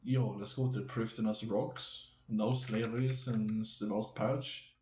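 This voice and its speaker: A man chatting casually on a live stream, rambling and haltingly thinking aloud. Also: lowish voice, fairly even intonation, darkish tone, highs cut off. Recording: roomy echo, some background noise.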